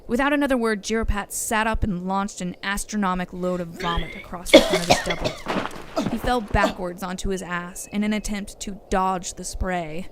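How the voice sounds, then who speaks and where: A woman's voice: mid-range voice, very animated, moderate pace. A woman reading scripted narration for an audio story, as its narrator. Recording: clear background noise and ambience.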